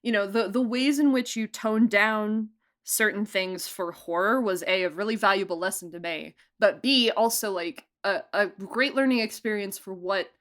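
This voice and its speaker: A woman giving a casual interview as an audio drama director, talking in an animated, chatty way that wanders into asides. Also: medium pitch, moderate pace. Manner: animated, chatty, digressive storytelling.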